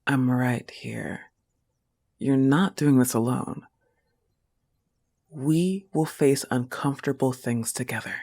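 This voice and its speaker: Woman voicing a slow-talking, low-voiced protective wolf-girl girlfriend. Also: dark tone, breathy edge, full character voice. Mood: reassuring, gentle. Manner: slow, coaxing, firm and reassuring. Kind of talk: intimate audio roleplay addressed to listener.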